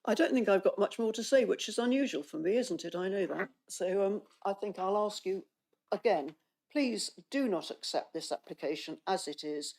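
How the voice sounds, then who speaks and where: A woman's voice: medium pitch, animated, moderate pace, a little mellow. A woman, a councillor losing her voice, earnestly urging a committee with hesitant ums. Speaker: councillor speaking against an application. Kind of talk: pleading speech at a council meeting.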